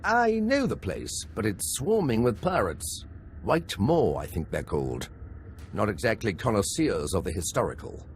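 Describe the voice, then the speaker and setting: A man's voice: lowish voice, big pitch swings, normal speed, a little hiss or hum. A man playing an eager, nervous young imperial officer and scholar in a video game, earnest and a little awkward. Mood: businesslike, wry.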